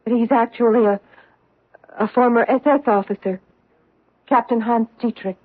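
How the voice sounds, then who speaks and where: An actress playing a tense, resolute wife in an old-time radio drama, guarded and earnest. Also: female voice, on the high side, steady tone, moderate pace, close to an everyday voice. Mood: uneasy. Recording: tinny, band-limited vintage radio broadcast.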